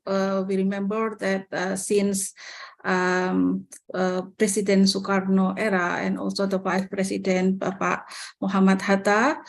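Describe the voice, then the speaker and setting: Female panelist, Indonesian accent, mid-pitched voice, hesitant and unhurried delivery. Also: creaky. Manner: hesitant, halting, frequent pauses. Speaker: university lecturer, webinar panelist. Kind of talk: answering a question in a webinar. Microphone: video call.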